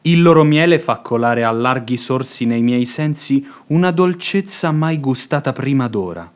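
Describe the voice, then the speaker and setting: An actor playing an unctuous, wary, falsely pious suitor with flowery, formal speech in a classic radio comedy. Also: male voice, medium pitch, natural voice. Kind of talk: theatrical radio drama acting of classic comedy.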